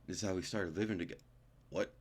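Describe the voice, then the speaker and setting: A man's voice: sits low, fairly even intonation, fast talker, slightly rough. A young man hosting a gaming video, casually reading game text aloud and commenting in slangy, informal speech, stumbling over words as he reads.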